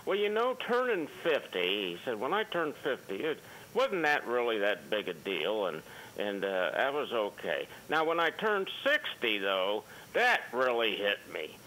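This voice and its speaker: A man's voice: medium pitch, very animated, measured pace, pushed and bright, a little hiss or hum, poor audio. Man in his sixties calling in. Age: 60s. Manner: rambling, storytelling. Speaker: caller. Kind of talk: phone-in call. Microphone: phone.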